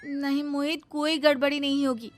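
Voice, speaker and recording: A woman plays an earnest young wife in a cartoon moral tale, defensive but resolute. The voice sits fairly high, and music runs behind it. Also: level tone, normal speed, lightly acted. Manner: protesting, earnest. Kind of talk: animated moral story character acting.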